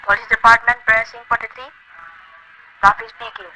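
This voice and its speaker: An actor playing a weary, patient desk police sergeant who talks in a calm, placating, slightly patronizing way in an amateur radio play. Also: male voice, extremely high pitch, moderate pace, exaggerated voice, rough recording.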